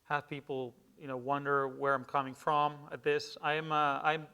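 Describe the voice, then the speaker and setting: A middle-aged man from Peru, a native Spanish speaker, giving a public lecture in a measured, hesitant way with many fillers and restarts. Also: on the high side, flat delivery, pushed and bright, clear, resonant tone. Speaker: guest lecturer. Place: lecture hall with an audience.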